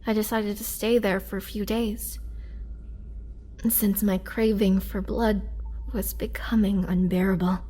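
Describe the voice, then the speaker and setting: Actress as imprisoned female vampire, roleplay drama: youthful yet centuries old, mid-pitched, sorrowful, soft-spoken storyteller with wistful pauses. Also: flat delivery, husky. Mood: weary, confiding.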